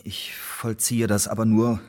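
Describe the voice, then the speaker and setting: An actor playing a defiant, sardonic Elizabethan secret agent in a radio drama, husky, with curt, grudging, mocking replies. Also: male voice, fairly low pitch, flat delivery, a little slow, darkish tone, close to an everyday voice. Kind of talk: German radio drama acting. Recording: reverberant hall-like room.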